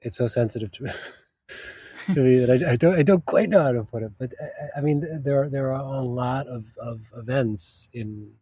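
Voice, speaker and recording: A man's voice: medium pitch, mellow, breathy edge, no echo, studio quality. A man, a translator, answering interview questions thoughtfully, often restarting his sentences as he searches for words. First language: English. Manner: thoughtful, halting, many restarts. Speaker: literary translator, guest.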